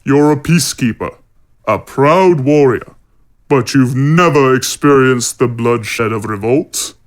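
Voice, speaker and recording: A man playing a stern, self-righteous zealot warrior in an audio fantasy drama, speaking in a measured, formal, menacing way. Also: mid-range voice, lightly acted. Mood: coldly threatening.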